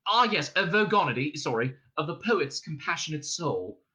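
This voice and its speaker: A man acts out a nervous, flattering bluffer in a comic audiobook. The voice is high and swoops about, halting and overeager as it gropes for words. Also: breathy edge, exaggerated voice. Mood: straining, triumphant.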